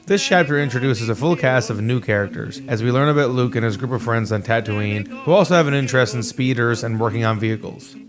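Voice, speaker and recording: A man's voice: medium pitch, fast, few pauses. A man hosting and narrating a scripted video essay, with music playing behind his voice.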